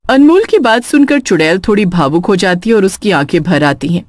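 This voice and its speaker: A woman's voice: medium pitch, animated, brisk, dry recording, clean studio sound. A woman narrating a scripted horror story in a dramatic storytelling style.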